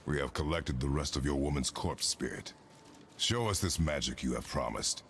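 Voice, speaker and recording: An actor playing a stern, weary warrior father in a video game, terse and commanding, with formal wording and a gravelly voice. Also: extremely low pitch, moderate pace, a bit bright, slight background noise. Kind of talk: video game cinematic acting.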